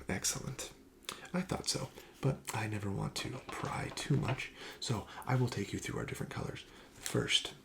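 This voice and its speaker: A soft-spoken ASMR host speaking gently, often near a whisper, in the polite, formal patter of a shop clerk. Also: low-pitched, gravelly, background noise.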